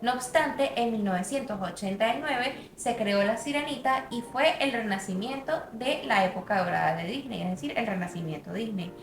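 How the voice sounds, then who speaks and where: A woman's voice: on the high side, normal speed, hardly any pauses, reverberant, faint music behind. A woman reading a scripted narration for a student video essay.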